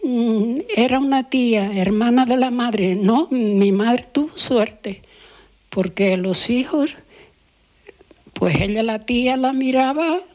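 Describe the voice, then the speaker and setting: An older woman with a Canarian Spanish accent, recalling village life in an unscripted, halting way as she searches for words. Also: fairly high pitch, a little mellow, muffled recording. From Canary Islands, Spain. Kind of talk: unscripted oral-history reminiscence.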